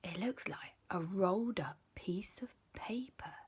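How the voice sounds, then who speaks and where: A woman voicing two curious boys who whisper excitedly while exploring the jungle. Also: mid-range voice, a little slow, slightly rough. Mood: hushed.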